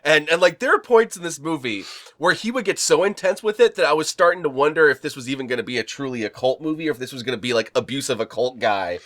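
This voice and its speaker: Stuffed-up man with a lively voice. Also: a little high, fast talker. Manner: rambling, repeats words, laughs often. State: stuffy from a cold. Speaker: horror review vlog host. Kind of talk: casual movie review chat.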